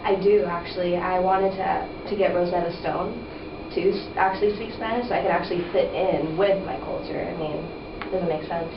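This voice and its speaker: Creaky young woman, distant and echoey. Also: on the low side, fairly even intonation, a little fast. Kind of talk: casual interview answer. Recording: echoey, distant, muffled, noisy.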